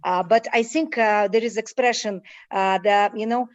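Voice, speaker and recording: A woman from Kyrgyzstan with a Kyrgyz accent, making enthusiastic, informal panel remarks in halting non-native phrasing. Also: medium pitch, fairly even intonation. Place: online video call. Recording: dull lo-fi video call audio.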